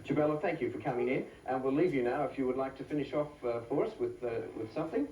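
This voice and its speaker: A man's voice: medium pitch, lively intonation, quick pace, dark tone, slight background noise. A male TV host interviewing a guest, enthusiastic and hesitant with many uhs, heard on an old clip. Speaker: TV show host. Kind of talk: TV studio interview. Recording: old TV clip, roomy, distant, with music.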